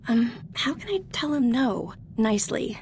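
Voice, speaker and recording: A woman's voice: on the high side, lively intonation, normal speed, a little hiss or hum. An actress playing a modest, eager young female Jedi apprentice in a video game, earnest and a little hesitant in a conversational way. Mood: friendly, sheepish. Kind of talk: scripted video game voice acting.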